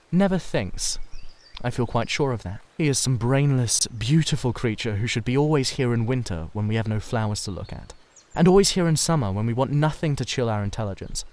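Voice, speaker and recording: A man's voice: mid-range voice, darkish tone, natural voice. A languid aristocrat acted by a male reader holds forth in a classic novel reading. He is witty and vain, with a pompous delivery that stretches out the emphatic words.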